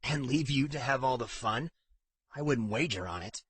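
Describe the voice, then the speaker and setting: A man's voice: medium pitch, animated, normal speed, fairly bright tone, husky, no echo. A man voicing a stubborn, loyal, wry young man in audiobook dialogue, firm and blunt.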